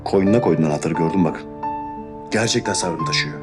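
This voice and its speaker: A stern, short-tempered police inspector husband, played by a man, barking clipped orders in a low voice at a quick pace. It is a TV drama scene, with music playing behind him. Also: close to an everyday voice. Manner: curt, scolding, clipped orders. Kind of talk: TV drama acting. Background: music score.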